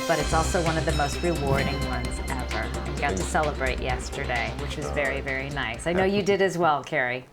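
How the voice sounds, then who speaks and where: A woman reads morning news in a slightly low voice and slips into casual chat. Faint music plays behind her. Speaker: news anchor.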